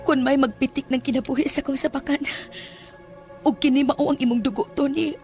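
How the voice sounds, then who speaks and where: A woman acting as an intense young woman in a horror radio play. Her voice is high and full of longing. Music plays clearly beneath her. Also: fairly even intonation, brisk, a little mellow. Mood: intense pleading. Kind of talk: horror audio drama acting in Cebuano. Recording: music under the voice.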